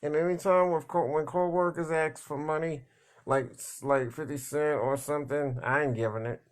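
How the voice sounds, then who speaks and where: A man talking in a casual, rambling monologue, halting and repeating words, blunt and plain-spoken. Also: a little high, fairly even intonation. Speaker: vlogger.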